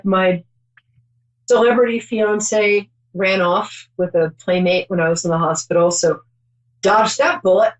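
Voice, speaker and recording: A woman's voice: medium pitch, muffled recording. A middle-aged woman hosting a call-in psychic show, chatty and reassuring, rambling with many ums.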